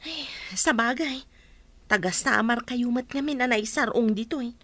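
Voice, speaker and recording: A woman acts out a sympathetic female friend in a radio drama. Her voice sits high. She speaks expressively and conversationally, full of care. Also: normal speed, a bit bright, no room sound. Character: caring, sympathetic woman friend of a struggling mother.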